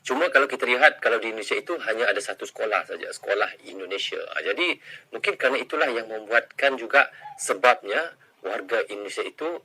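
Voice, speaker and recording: A man's voice: lowish voice, animated, fast talker, sharp tone, husky, rough recording. A man from Malaysia, chatting casually to viewers as he reacts to a video and thinks aloud.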